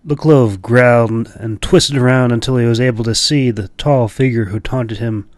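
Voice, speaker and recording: A man narrating a fantasy audiobook in a dramatic, expressive, deliberate storytelling style. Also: mid-range voice, dry recording.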